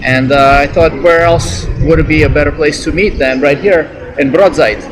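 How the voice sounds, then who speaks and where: A man's voice: on the high side, moderate pace, a crowd in the background, low-quality recording. Easygoing American man hosting a vlog. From United States. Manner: easygoing, chatty, with fillers. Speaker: travel vlog host. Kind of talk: casual interview chat. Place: outdoors on a city street, then in a restaurant.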